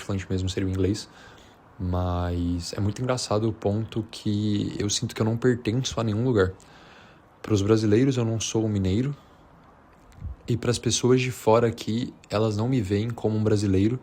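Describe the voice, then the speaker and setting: Low-pitched Brazilian man, informal vlog chat. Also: darkish tone. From Minas Gerais, Brazil. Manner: informal, slangy, hesitant and rambling. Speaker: vlogger. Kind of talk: unscripted casual chat to camera.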